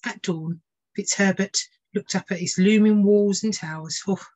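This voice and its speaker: A woman's voice: medium pitch, steady tone, normal speed, a little mellow, highs cut off. A woman tutor narrating a children's storybook expressively. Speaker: tutor reading a children's book.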